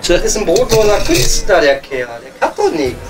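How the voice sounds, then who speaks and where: A man's voice: high voice, huge pitch swings, normal speed, over music. A man playing a gossipy, mocking coal miner with a Ruhr accent in an improvised comedy audio play. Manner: colloquial, grumbling, mocking.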